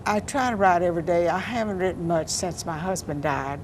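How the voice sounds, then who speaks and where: An older woman poet answering interview questions in a soft-spoken, unhurried, plainspoken way. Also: lowish voice. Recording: noisy background.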